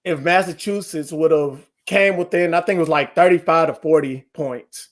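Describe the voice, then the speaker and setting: A man co-hosting a laid-back podcast with his friends, his voice sitting a bit higher than most. He tells stories with lots of animation, drops slang at every turn and throws jokey jabs at the others. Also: normal speed. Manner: animated storyteller, trash-talking, slangy. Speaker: podcast co-host. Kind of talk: casual podcast banter.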